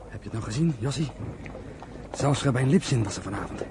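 An actor plays a bold, idealistic young son in a radio drama. He talks fast at a middling pitch and challenges with questions, over faint noise. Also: expressive, darkish tone, lightly acted. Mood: eager, challenging. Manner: quick, challenging. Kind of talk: radio play acting.

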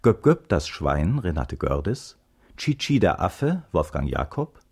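Male radio announcer for formal credits: low, dark, husky, measured delivery with varied intonation. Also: very animated. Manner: measured, list-like reading.

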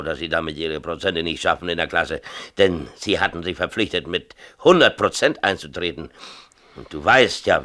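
A troubled, tipsy father in a 1970s radio drama. His low voice is bright and forceful, swinging widely as he confesses and rants. He keeps pressing his listener to agree. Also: exaggerated voice. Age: middle-aged. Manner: emphatic, agitated confessional ranting, seeking agreement. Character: tipsy, aggrieved small-town mayor and strict, troubled father. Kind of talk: 1970s East German radio drama acting.

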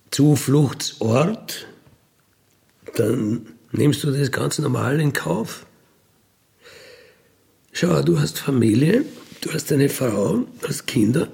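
A man's voice: mid-range voice, gravelly, a little mellow. A middle-aged or older man with an Austrian accent, candidly recalling his own life in a colloquial, matter-of-fact, unapologetic way. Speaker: ex-convict interviewee telling his life story. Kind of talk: candid conversational recollection.

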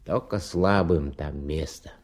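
An actor playing a folksy, philosophical workman in a radio play, giving measured, homespun advice. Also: a bass voice, soft background music. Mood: wistful, cautioning. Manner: measured, colloquial, sententious. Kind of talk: 1970s radio play acting.

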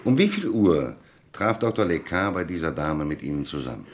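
An actor playing a shrewd police commissioner in a German radio crime drama, courteous but relentless, with formal, commanding questioning. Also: male voice, sits low, big pitch swings, moderate pace. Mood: commanding, accusing.

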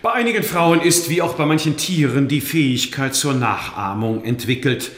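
A man's voice: mid-range voice, normal speed, a bit bright, lightly acted. A man playing a scornful, pedantic medieval cleric with a stiff, condescending manner in a radio drama. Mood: haughty. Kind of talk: German radio drama acting. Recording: very echoey stone-room sound.

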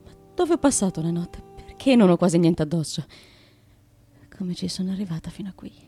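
A woman's voice: on the low side, lively intonation, brisk, dark tone, slightly rough, faint music behind. A woman acting the bewildered, lost wife of an ancient Greek tyrant, in a disoriented, questioning, fragmented theatrical monologue for radio. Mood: dazed, cold.

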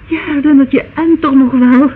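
A woman's voice: high voice, fairly even intonation, natural voice. An actress playing a fragile, doting older woman, tender and overwrought with longing, in a radio drama. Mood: pleading tenderness. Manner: tender, emotional, drawn-out. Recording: phone-like, noisy old radio play recording.

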